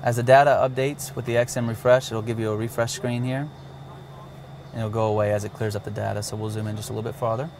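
A man's voice: on the low side, flat delivery, quick pace, darkish tone, slight background noise. A man casually demonstrating a cockpit navigation system step by step, with occasional fillers. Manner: walks through steps plainly, with fillers. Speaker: avionics demonstrator.

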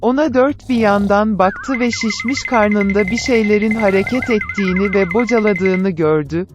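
A woman reading a bedtime fairy tale aloud in a calm, even storytelling manner. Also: medium pitch. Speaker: narrator. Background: background music.

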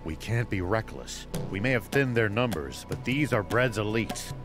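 A man's voice: fairly low pitch, lively intonation, moderate pace, over music, natural voice. A man playing a stern, cautious, composed older sheriff in a video game. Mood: grave, measured. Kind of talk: video game cutscene acting.

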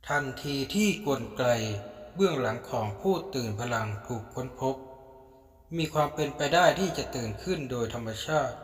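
A man's voice: mid-range voice, animated, moderate pace. Echoing male fantasy story narrator. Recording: echoey, long reverb.

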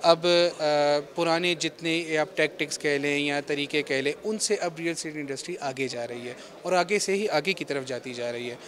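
A man's voice: a little high, moderate pace, few pauses, a bit bright. A man in his thirties with a Pakistani accent, giving an unscripted news interview as a company representative. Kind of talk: spontaneous on-camera news interview. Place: indoor expo hall. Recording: busy indoor hall crowd noise. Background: crowd chatter.

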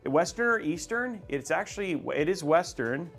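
A man in his thirties with a fairly high, bouncy voice runs a playful guessing game as he builds a PC. His pitch jumps around with excitement, and faint music plays under him. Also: moderate pace. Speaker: livestream host and PC builder. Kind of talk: casual livestream chat. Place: studio, building a PC at a bench.

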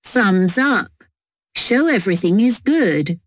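A woman narrating textbook audio in a slow, clear reading. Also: medium pitch, expressive, no room sound, studio quality.

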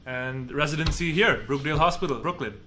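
A man's voice: a little high, brisk, background noise. A man in his twenties from India, a medical resident co-hosting a podcast, talking with playful, jokey asides in an office with a couch. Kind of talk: casual chat.

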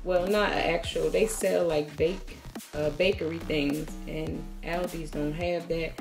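A woman talking casually to her viewers as she shows what she bought, often calling them y'all. Her voice has a gravelly edge. Music plays clearly underneath her. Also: low-pitched, fairly even intonation, measured pace. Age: adult, has a daughter. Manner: chatty, addresses viewers as y'all. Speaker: vlogger. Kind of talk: casual grocery haul chat.

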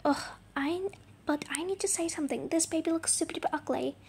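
A woman's voice: high-pitched, a little fast, darkish tone. A young woman voicing a snooty, spiteful younger sister in an amateur roleplay. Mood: scornful. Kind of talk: amateur voiced toy-app roleplay.

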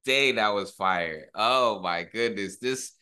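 A man's voice: fairly low pitch, slow pace, sharp tone, clean tone. A man in his thirties giving a casual, slangy music video reaction, full of short repeated exclamations, recorded at home on a desk mic. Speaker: rapper and reaction video host. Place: small room at home, indoors. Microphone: desktop microphone close by, headphones on. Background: pop music from the video.

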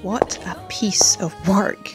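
A woman's voice: medium pitch, fairly even intonation, takes it slowly, over music, lightly acted. A woman playing a wry mercenary rogue in a fan audio drama, dryly sarcastic and businesslike. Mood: grudging.

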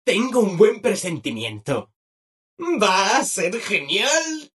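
A man's voice: sits high, big pitch swings, slow pace, a bit bright, breathy edge, full character voice. A man with a Castilian Spanish accent voicing a relentlessly cheerful, eager-to-please robot assistant in a video game, with bubbly, exaggerated enthusiasm. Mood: cheerfully obliging. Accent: Castilian Spanish, from Spain. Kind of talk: dubbed video game dialogue.

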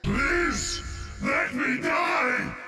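A man voicing an anguished undead warrior in a video game, with a theatrical, drawn-out delivery. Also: extremely high pitch, huge pitch swings, exaggerated voice. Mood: anguished, dramatic. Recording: loud music behind, echoey reverberant hall.

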